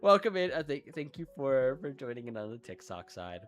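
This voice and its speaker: Chatty Midwestern American guy, lively pitch. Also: brisk, dull audio, soft background music. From Midwestern United States. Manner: rambling, chatty, frequent "like" fillers, self-deprecating. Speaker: streamer. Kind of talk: casual live-stream chat.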